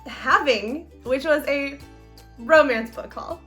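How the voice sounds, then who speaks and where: A woman's voice: high-pitched, slow, a bit bright, faint music behind. A young American woman co-hosting a book show in casual, enthusiastic chat, with a playful, giddy and slangy manner.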